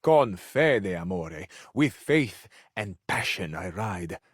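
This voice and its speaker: A man's voice: sits low, sing-song, takes it slowly, no room sound, clean studio sound, heavily acted. A man voicing a flamboyant, hopelessly romantic Italian charmer in a video game, with an Italian accent and a florid, amorous delivery that savors each phrase. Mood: playful, affectionate. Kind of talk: theatrical video game character acting.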